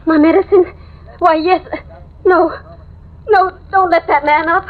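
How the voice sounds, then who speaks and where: A terrified housewife in an old radio thriller, her voice very high and tearful. She speaks in halting, breathless pleas, full of paranoid dread. The recording is old and muffled. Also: fairly even intonation. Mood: terrified, hysterical. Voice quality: shrill, tearful. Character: frantic, paranoid young housewife, terrified of a killer. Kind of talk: 1950s radio horror melodrama acting.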